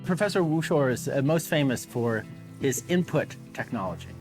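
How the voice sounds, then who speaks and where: An American man presents a documentary, his pitch rising and falling in a lively way as he explains in a measured tone. Music plays underneath his voice. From United States.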